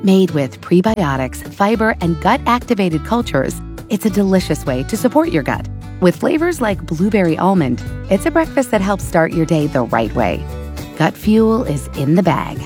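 A woman voicing radio commercials in a smooth, friendly read. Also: fairly low pitch, very animated, moderate pace, darkish tone, faint music behind. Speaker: ad announcer.

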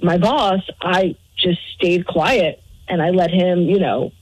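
A woman's voice: on the low side, slow pace, darkish tone, no echo, low-quality recording. An American woman from Chicago calling in to a radio show, giving a casual, rambling and hesitant personal account. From Chicago, United States.

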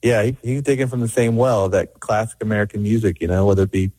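A man's voice: fairly low pitch, steady tone, fast, darkish tone, slightly rough, dry recording. A middle-aged man, a record producer, talking in an interview with many restarts and fillers. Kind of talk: conversational interview.

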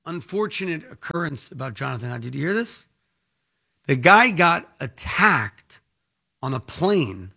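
A man with a forceful, bright voice pitched a little high hosts a financial talk show. He speaks at an unhurried pace and works through his thoughts aloud. The delivery is conversational and unscripted, wandering as it goes. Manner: rambling, conversational, thinking aloud. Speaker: financial advice show host.

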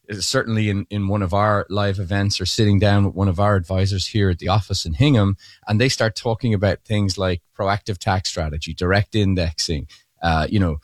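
A man's voice: fairly low pitch, no echo, clean studio sound. A man co-hosting a financial radio talk show, talking casually with frequent restarts. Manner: rambling with restarts.

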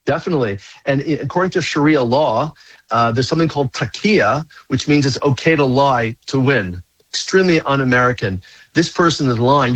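A man's voice: medium pitch, fairly bright tone, husky, dry recording, low-quality recording. A man appearing as a rabbi guest on a talk radio show, speaking urgently and insistently, repeating his warnings.

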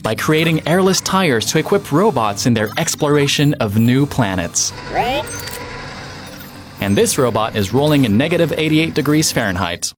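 A man narrating a radio ad in a measured way, over loud ambient sound effects. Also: medium pitch, expressive, slight background noise. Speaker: ad announcer.

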